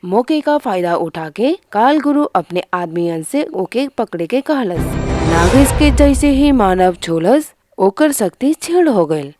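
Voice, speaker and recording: A woman's voice: mid-range voice, big pitch swings, measured pace, over music. A woman reading a scripted fairy tale as narrator, in a dramatic storytelling style.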